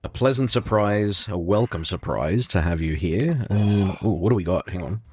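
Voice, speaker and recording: A man with an Australian accent co-hosting a podcast, chatty and quick to laugh, also reading sponsor plugs. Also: low voice, a little mellow, a little hiss or hum. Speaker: podcast co-host. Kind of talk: casual podcast chat with sponsor reads.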